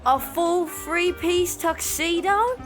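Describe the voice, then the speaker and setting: Woman as high-pitched kid detective, nosy, astonished, incredulous, audio drama acting. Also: a bit bright, faint music behind, full character voice. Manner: incredulous, rapid questioning.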